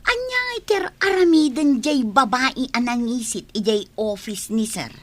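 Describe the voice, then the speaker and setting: A woman's voice: on the high side, lively intonation, normal speed. A woman playing a bossy, grumbling older office utility worker who nags and complains in a comedy radio drama. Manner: nagging, scolding, complaining.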